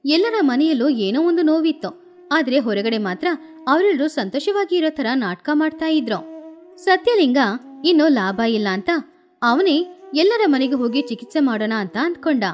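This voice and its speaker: A woman narrating a scripted moral story with expressive, animated delivery. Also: high voice, moderate pace, soft background music.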